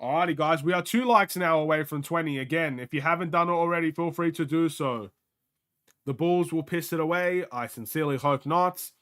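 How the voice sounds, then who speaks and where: A young man from Australia with a light Australian accent, hosting a live game watchalong in casual, excitable play-by-play chat, often laughing. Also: on the high side.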